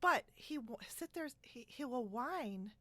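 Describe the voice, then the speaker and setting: A woman's voice: on the high side, lively intonation, measured pace, sharp tone, dry recording. A woman hosting a casual podcast interview from a room at home, chatty and giggly, on a video call. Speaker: podcast host.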